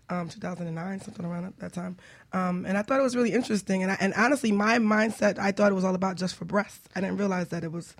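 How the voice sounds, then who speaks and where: Fast-talking woman co-host. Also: medium pitch. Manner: chatty, with frequent fillers and restarts. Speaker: co-host of a radio show. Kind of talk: casual radio talk.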